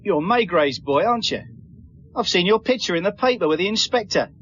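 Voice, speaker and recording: An actor playing a cheeky, grudging working-class taxi driver in a radio drama, chatty and colloquial. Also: male voice, high voice, expressive, fairly quick, fairly bright tone, breathy edge, exaggerated voice. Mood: grumbling, cheeky. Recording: dull sound with faint vehicle noise.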